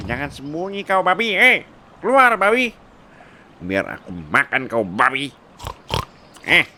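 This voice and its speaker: Man doing a brash hungry big wolf, swooping pitch. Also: bright, forceful tone, a little hiss or hum, full character voice. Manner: blustering, slangy, stammering "eh". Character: big hungry crude pet wolf, blunt, obedient to boss. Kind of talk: acted bedtime story in ASMR roleplay.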